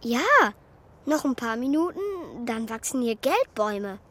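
Naive little wooden puppet boy, high childlike voice, takes his time, dreamy and eager, kids radio play. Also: measured pace. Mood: boastful. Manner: childlike, excitable, earnest.

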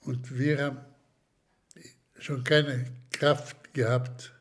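An older man from Slovakia, giving slow, hesitant testimony in an interview with many pauses and restarts. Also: mid-range voice, flat delivery. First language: German as childhood language, long unused.

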